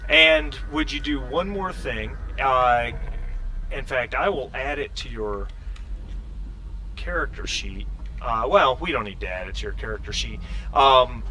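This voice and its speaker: Male game master, medium pitch, bright tone, halting explanatory delivery, slight background noise. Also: expressive. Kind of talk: running a tabletop role-playing game.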